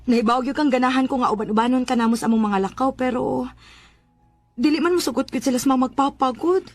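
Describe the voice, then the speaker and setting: A woman's voice: high-pitched, fast, dry recording, faint music behind. A woman acting in a Bisaya radio drama as a caring, protective older sister, earnest and insistent. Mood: tender, concerned. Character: caring, protective older sister who challenges her parents.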